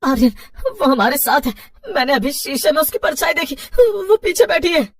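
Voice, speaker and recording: A woman's voice: sits high, sing-song, moderate pace, distant mic, pitched above the performer's own voice. A woman voicing a frightened young man with urgent, dramatic delivery in a horror story.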